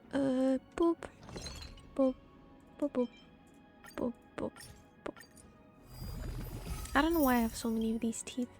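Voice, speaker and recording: A woman giving casual live game commentary, playfully muttering to herself with little sound-word fillers. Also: sits high. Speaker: streamer.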